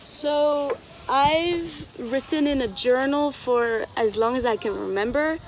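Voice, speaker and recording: A woman's voice: sits high, slow pace. A nineteen-year-old woman student who grew up in Tanzania, introducing herself. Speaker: university student, columnist nominee. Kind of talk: self-introduction to camera. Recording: noisy outdoor ambience with insects.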